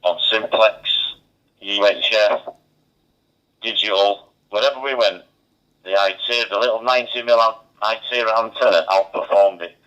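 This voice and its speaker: A man's voice: fairly low pitch, steady tone, normal speed, pushed and bright. A man chatting casually as an amateur radio operator, heard over a two-way digital radio. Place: mobile radio station. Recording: dull, band-limited two-way radio transmission.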